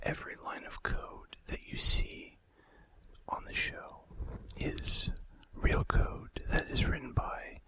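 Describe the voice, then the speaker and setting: A man whispering breathily and gently into a close microphone as an ASMR host, reading out facts with casual, hesitant asides. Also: slow pace.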